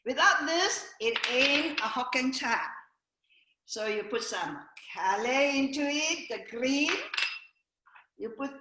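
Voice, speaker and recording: A woman's voice: high voice, lively intonation, slow. Cheeky older woman cooking on a video call. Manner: cheeky, joking, playful. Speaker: guest cook. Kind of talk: live cooking demo over video call. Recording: echoey room with clear background noise.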